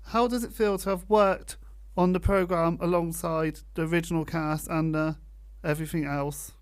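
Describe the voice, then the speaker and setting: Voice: medium pitch, fairly even intonation, normal speed, smooth. A radio interviewer putting prepared questions to a guest in a conversational way.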